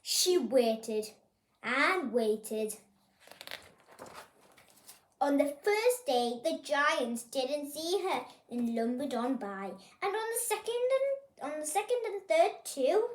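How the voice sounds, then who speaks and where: Very high, animated storyteller in a roomy space. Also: slow. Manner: expressive, pointing things out to young listeners. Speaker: children's storyteller. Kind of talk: animated picture-book read-aloud for kids.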